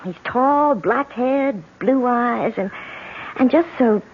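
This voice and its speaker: A woman's voice: a little high, slow pace. A young woman with an American accent acting a gushing, giddy newlywed heiress in a radio drama, stammering and repeating herself. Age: young adult. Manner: breathless gushing, stammering repetitions. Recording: tinny, noisy old radio recording.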